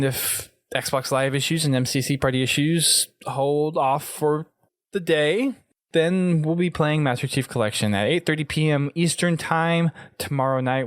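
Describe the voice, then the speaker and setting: A man's voice: mid-range voice, no echo. Man hosting a gaming podcast, dry close sound. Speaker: podcast host. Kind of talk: casual gaming podcast talk.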